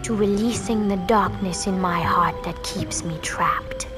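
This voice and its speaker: An actress playing a mysterious young swamp mystic in a cartoon game, speaking in a grave, measured, cryptic way. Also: female voice, medium pitch, music in the background, close to an everyday voice. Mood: grave, burdened. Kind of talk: video game cartoon acting.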